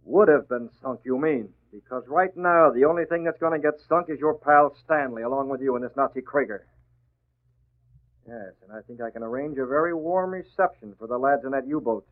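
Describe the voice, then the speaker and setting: An actor playing a tough, sardonic masked vigilante in 1940s radio drama style, speaking in clipped, menacing phrases. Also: male voice, medium pitch, brisk, natural voice. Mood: brisk, confident. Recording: muffled, narrow-band old radio broadcast.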